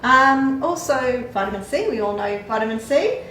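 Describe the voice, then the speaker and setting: A woman's voice: high voice, animated, moderate pace, fairly bright tone, a little hiss or hum. A woman explaining vitamins and herbs in a casual chat, with lots of fillers and small laughs. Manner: chatty, explanatory, with frequent fillers and light laughs. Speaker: clinic staff giving supplement advice. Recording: echoey room, mic at a distance.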